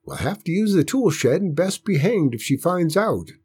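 A man voicing a stubborn, fond old country grandfather with a folksy rural drawl and a husky voice. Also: on the high side, sing-song, normal speed, dark tone, pitched above the performer's own voice, exaggerated voice.

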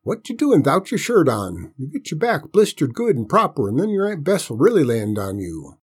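A man's voice: huge pitch swings, normal speed, slightly rough, full character voice. A man voicing a folksy, conspiratorial old country grandfather with a rural Southern US accent for a story. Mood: curious, teasing. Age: older. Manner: drawling folksy dialect, pitched up from the narration. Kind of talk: storybook character voice in narration.

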